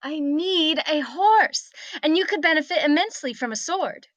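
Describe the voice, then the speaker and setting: A woman's voice: high voice, animated, normal speed, fairly bright tone, no echo. A woman voicing a proud, defiant young princess with imperious, formal phrasing in a script table read, on a muffled microphone. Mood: haughty.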